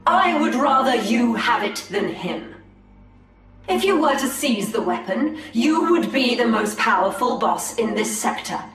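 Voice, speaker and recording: Slow, solemn, echoing elven seer. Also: female voice, on the high side, animated, fairly bright tone. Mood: solemn, persuasive. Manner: solemn, deliberate, formal. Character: proud, calm female Eldar seer striking a bargain. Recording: echoey, distant, faint music behind.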